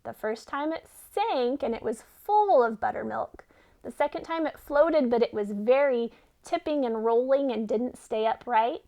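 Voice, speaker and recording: A woman's voice: fairly high pitch, moderate pace, dark tone. A woman reading a children's story aloud expressively, as a teacher who puts questions to listeners.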